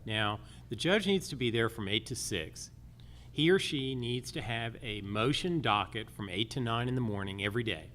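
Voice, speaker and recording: A man of medium pitch argues his case as a judicial candidate at a live forum. His delivery is animated and emphatic, hammering points in lists, over faint background noise. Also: moderate pace. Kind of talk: campaign forum answers, public speaking.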